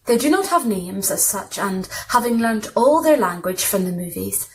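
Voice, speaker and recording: A woman's voice: medium pitch, animated, moderate pace, a little mellow. Woman reading short literary stories, noisy recording. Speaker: story reader. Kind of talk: literary short story narration, read aloud.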